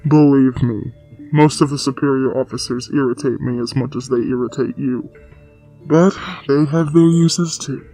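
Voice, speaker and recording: A man playing an aloof, coolly commanding warrior master in a dramatic comic dub, measured and dismissive in manner. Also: mid-range voice, steady tone, dark tone, natural voice, low-quality recording. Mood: calm, guarded. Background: music.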